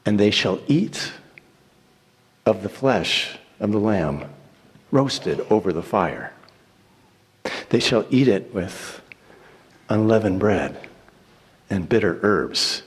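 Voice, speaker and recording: A man giving a solemn, declamatory dramatic reading of scripture in deliberate phrases. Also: fairly low pitch, lively intonation, breathy edge. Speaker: church reader reciting scripture. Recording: echoey hall with long reverb.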